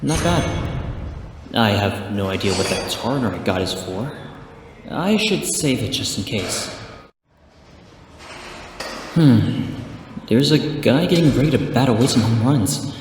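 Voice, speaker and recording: Young male lead, acted by a man: earnest, self-coaching inner monologue for audio drama, animated intonation, very echoey sound. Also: medium pitch, takes it slowly, long pauses, slight background noise. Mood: curious, musing. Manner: expressive, talking himself through things. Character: earnest young male protagonist playing a batting game. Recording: roomy long reverb under music.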